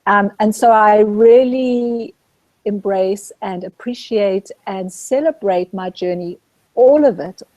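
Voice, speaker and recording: A middle-aged woman from Zambia, a guest speaking gently and reflectively about her spiritual search, often pausing to restart her thought. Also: medium pitch, dry recording, poor audio. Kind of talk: reflective spiritual interview talk.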